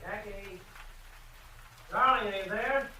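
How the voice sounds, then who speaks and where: An actor playing an apologetic husband, urgent and coaxing, in a 1950s radio drama. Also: male voice, sits high, slow, bright, forceful tone, full character voice. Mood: pleading. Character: pleading, apologetic husband shut out by his wife. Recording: distant, echoing, noisy old radio recording.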